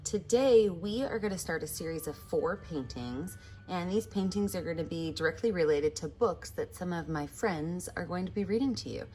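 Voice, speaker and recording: A woman's voice: fairly low pitch, moderate pace, warm tone. A woman art teacher hosting an instructional step-by-step tutorial, addressing viewers in a friendly, direct way. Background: background music.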